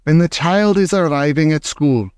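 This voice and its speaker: A man voicing a gloating old female witch leader who shouts in broken grammar. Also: fairly high pitch, moderate pace, clean tone, no echo, voice pushed up, close to an everyday voice.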